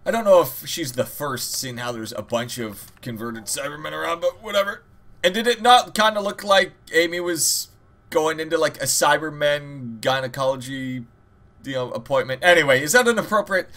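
Bright, higher-pitched man rambling casually. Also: animated, fairly quick. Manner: rambling, jokey, sweary. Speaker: host of a gaming channel. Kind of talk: casual let's-play commentary.

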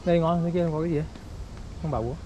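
A man's voice: on the high side, very fast, lo-fi sound. A young father, coaxing and reassuring his small child on a mountain trail. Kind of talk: casual family chat. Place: outdoor mountain hiking trail. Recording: steady outdoor background noise.

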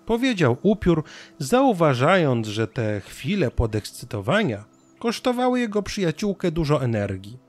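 Lively male storybook narrator. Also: fairly high pitch, normal speed. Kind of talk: scripted children's story narration.

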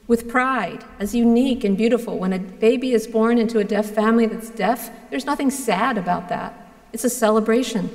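A woman's voice: mid-range voice, a little mellow. Earnest woman priest preaching in reverberant church. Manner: measured, earnest, with deliberate pauses. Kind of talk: sermon. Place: large stone church, indoors. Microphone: gooseneck pulpit mic.

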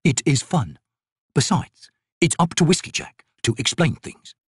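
Man playing a slow deadpan warrior. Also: on the high side, husky, no echo, studio quality, pitched above the performer's own voice, exaggerated voice. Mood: smug, deadpan.

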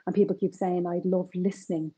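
Chatty Dublin woman, low mellow voice. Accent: North Side Dublin. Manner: chatty, animated, quick asides. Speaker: crime author guest. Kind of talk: video call interview. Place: home kitchen with shelves. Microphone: video call.

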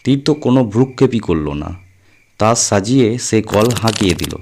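A man's voice: fairly low pitch, animated, normal speed, darkish tone, a little hiss or hum. A man narrating a scripted suspense story as an audio storyteller, in a dramatic reading style.

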